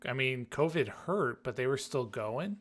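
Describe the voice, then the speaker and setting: A man's voice: medium pitch, expressive, a little slow. A man from the United States co-hosting a podcast in casual, conversational chat. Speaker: podcast co-host.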